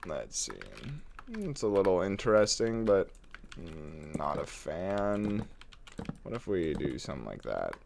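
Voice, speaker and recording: Brief: congested male game streamer, lively up-and-down delivery, playful rambling, faint keyboard clicks behind. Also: sits low, measured pace, gravelly, slight background noise. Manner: playful, rambling, chuckles often. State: congested, runny nose. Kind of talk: casual live-stream chat while building.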